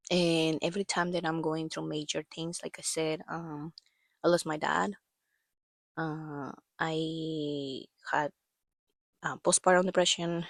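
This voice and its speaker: A woman's voice: low voice, vocal fry, dry recording. A woman in her thirties telling a personal story as a podcast host, rambling and hesitant with many fillers, her voice worn from allergies and coughing.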